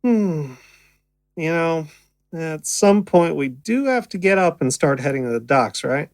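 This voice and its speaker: A woman's voice: low-pitched, expressive, a little slow, a little mellow, no echo. A woman playing a young stage magician, affectionate and easily flustered, in a naturalistic audio drama.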